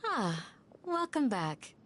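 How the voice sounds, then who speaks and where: Composed, gracious village guardian. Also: female voice, a little high, big pitch swings, takes it slowly, a little hiss or hum. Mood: gracious, attentive. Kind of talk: video game voice acting.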